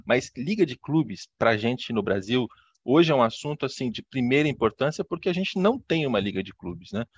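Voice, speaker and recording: A Brazilian-accented man hosts an interview in a relaxed, fluent way, explaining context for listeners. His voice is dry and close, and the sound is a little dull. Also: medium pitch, normal speed, husky, no echo. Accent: Brazilian Portuguese. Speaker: podcast host, interviewer. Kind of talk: conversational interview hosting.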